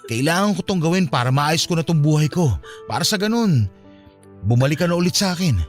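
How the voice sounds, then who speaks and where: A man's voice: a little high, expressive, brisk, faint music behind, lightly acted. A man with a Filipino accent playing an earnest, wounded young man, pleading emotionally in a radio drama. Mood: earnest, tender.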